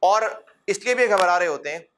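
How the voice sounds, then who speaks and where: A middle-aged man lecturing a classroom of accounting students in a fatherly, encouraging way, with rhetorical questions and gentle humor. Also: high-pitched, expressive, moderate pace.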